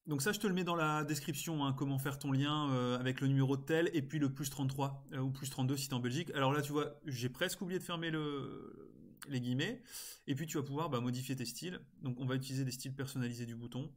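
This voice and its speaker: A man with a mid-pitched voice walks through a software tutorial. His delivery is informal and instructional, moving step by step and dropping in small interjections as he goes, like someone showing a friend what to do on screen. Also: steady tone, fast. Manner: casual, step-by-step explaining with frequent interjections. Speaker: tutorial presenter. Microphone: screen-recording voice-over.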